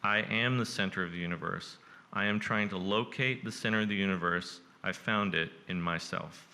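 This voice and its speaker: A man moderating a live event, reading journal excerpts aloud in a measured, deliberate way. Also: low voice, creaky, pushed and bright, a little hiss or hum.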